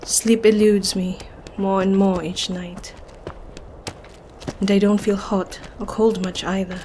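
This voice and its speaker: A woman playing a resolute young Victorian gentlewoman in an audio drama, deliberate and dramatic. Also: medium pitch, level tone, a little hiss or hum, close to an everyday voice. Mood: weary.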